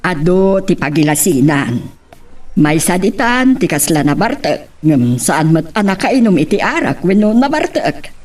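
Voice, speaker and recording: A woman's voice: fairly low pitch, sing-song, unhurried, a little mellow, distant mic. A woman playing a cautious, protective middle-aged mother in an Ilocano romance drama, with an Ilocano accent. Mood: cautioning.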